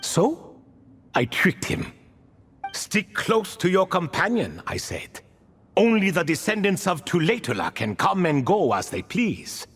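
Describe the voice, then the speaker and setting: An actor voicing an ancient, riddling bound spirit in a video game, grandiose and wistful, with theatrical, old-fashioned musing phrasing. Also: male voice, high-pitched, wildly swooping pitch, a little slow, a bit bright, husky, faint music behind, exaggerated voice. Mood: musing, reminiscing. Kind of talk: video game cutscene acting.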